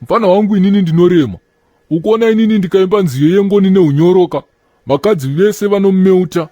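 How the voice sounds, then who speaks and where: A man acts out a self-important street joker in a comedy cartoon. He rattles along quickly in a mellow, Zimbabwean-accented voice, boasting and mocking in slang. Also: high voice, no echo, lo-fi sound, lightly acted. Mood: boastful.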